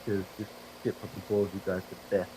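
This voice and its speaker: Hoarse young guy w a cold, low voice, swearing and rambling over a driving game, bit of hiss. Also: level tone, fast. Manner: profane, rambling, self-deprecating. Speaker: gaming video creator. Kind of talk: casual gameplay commentary. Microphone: desktop microphone.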